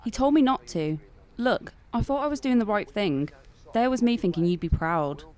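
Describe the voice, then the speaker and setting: A woman's voice: medium pitch, animated, moderate pace, darkish tone, close to an everyday voice. A young woman playing a concerned, defensive nineteen-year-old in a fan-made audio drama.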